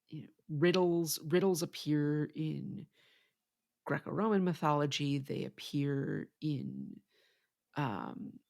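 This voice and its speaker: Podcast co-host, measured explainer, slow pace, creaky voice, casual literary talk. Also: medium pitch.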